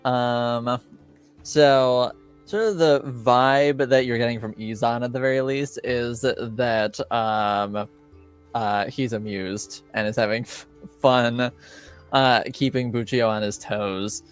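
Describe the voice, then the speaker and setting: A man's voice: mid-range voice, fairly even intonation, takes it slowly, clean tone, soft background music. A man running a tabletop roleplaying game as game master, easygoing and chuckling, with many ums. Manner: easygoing, chuckling, filler-heavy.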